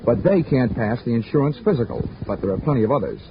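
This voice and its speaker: A man's voice: mid-range voice, animated, fast talker, a little hiss or hum, natural voice. An actor playing a wisecracking, flirtatious male insurance investigator in a 1940s radio drama, with a wry, teasing delivery. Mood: flirty. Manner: wry, teasing, smooth-talking. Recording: muffled 1940s radio broadcast.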